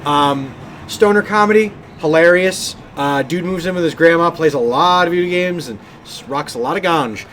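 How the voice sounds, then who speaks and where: A man's voice: on the high side, moderate pace, a bit bright, a little hiss or hum. A man co-hosting a gaming podcast, chatting casually and enthusiastically. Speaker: podcast co-host and game streamer.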